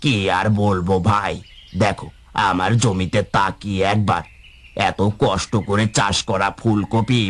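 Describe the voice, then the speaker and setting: A weary cartoon farmer, acted by a man, earnest and lamenting. His voice sits fairly low and sounds plaintive and worried. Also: fairly bright tone, lightly acted. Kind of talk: cartoon voice acting.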